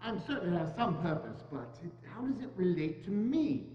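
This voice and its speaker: A man's voice: sits high, very animated, moderate pace. A man playing a frightened, pleading old miser in a stage reading, with hesitant, stammering delivery. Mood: anxious, puzzled. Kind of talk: amateur dramatic reading on stage. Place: large church hall, indoors. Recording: muffled echoey church hall, humming noise.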